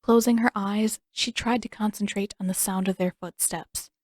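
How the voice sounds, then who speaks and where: Woman, audiobook narrator, scripted fiction reading, mid pitch, dry close sound. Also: fairly even intonation, normal speed, a little mellow, no room sound.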